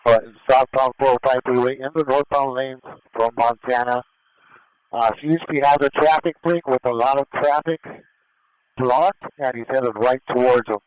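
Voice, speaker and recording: A man's voice: mid-range voice, fairly even intonation, moderate pace, clean tone, no echo, low-quality recording. A man giving matter-of-fact, clipped pursuit updates over police radio, like an air unit observer calling events live. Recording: police scanner radio transmission.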